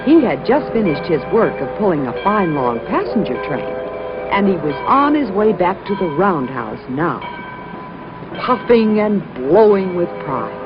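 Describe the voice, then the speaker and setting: Woman storyteller, children's narration, unhurried, very expressive, emphatic, dull recording, music behind. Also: fairly low pitch. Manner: dramatic, emphatic, acts out sound words.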